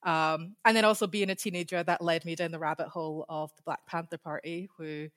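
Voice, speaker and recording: Female interview guest, young adult, Scottish accent, slightly low register, casual personal storytelling. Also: steady tone, fairly quick, fairly bright tone. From Scotland. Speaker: podcast guest, history researcher.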